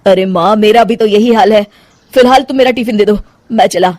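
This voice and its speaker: A woman's voice: mid-range voice, brisk, a little mellow, dry recording, lightly acted. A woman voicing a harried, submissive young husband in a cartoon story, flustered and complaining in a theatrical way. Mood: hurried. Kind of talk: Hindi cartoon moral story acting.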